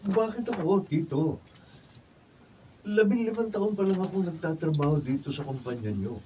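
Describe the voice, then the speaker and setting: Dutiful nephew, played by a man, lively pitch, polite, distant lo-fi mic. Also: normal speed, a little hiss or hum, natural voice. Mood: respectful. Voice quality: polite, respectful tone. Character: young male nephew, humble and dutiful.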